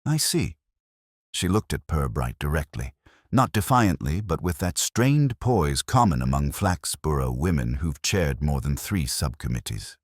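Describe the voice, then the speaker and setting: A man's voice: very deep voice, big pitch swings, moderate pace, breathy edge, no room sound. A man narrating a cosy mystery story from a script in a dry, wry manner.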